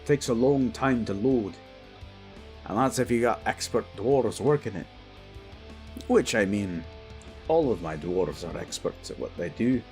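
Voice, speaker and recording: A man's voice: on the low side, animated, measured pace, long pauses, darkish tone, soft background music. A man playing a proud, battle-hardened old dwarf king in a fantasy audio drama, speaking in a boastful, commanding, folksy way.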